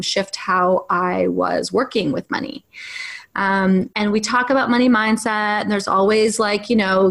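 A woman's voice: medium pitch, fairly bright tone. A woman acting coach speaking frankly and reflectively as an interview guest, swearing casually and restarting her sentences. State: single mom. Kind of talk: candid conversational interview.

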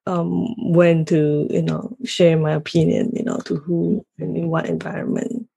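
Hesitant Malaysian woman, husky and creaky. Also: deep voice, level tone, measured pace, mellow, dry recording. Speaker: podcast guest, consultant. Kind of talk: casual interview conversation.

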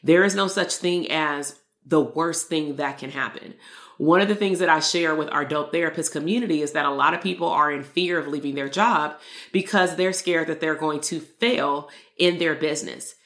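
A woman's voice: low voice, moderate pace, roomy sound. A woman hosting a solo motivational podcast as a therapist coach, talking earnestly and repeating key lines for emphasis. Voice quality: loud voice.

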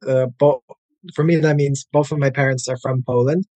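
An American man in his thirties talks easily about his work as a language coach. He rambles a little, with plenty of "like" and "uh" fillers. His voice sits at an ordinary male pitch, and the recording is close and dry with no room sound. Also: moderate pace, dry recording. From United States. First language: English. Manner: relaxed and chatty, many "like" and "uh" fillers. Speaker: guest, English language coach. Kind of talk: casual interview conversation.

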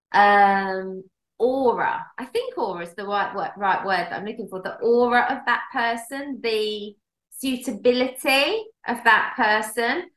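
A woman's voice: on the high side, a bit bright. A woman co-hosting a podcast, chatting casually with frequent laughs and restarts. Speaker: podcast co-host and dog trainer. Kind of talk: casual chat. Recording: echoey room.

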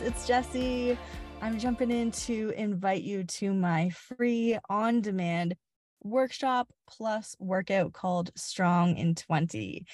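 A woman's voice: medium pitch, darkish tone, no room sound, clean studio sound. A woman podcast host and fitness coach speaking casually and directly to listeners in a friendly way. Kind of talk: casual solo podcast talk.

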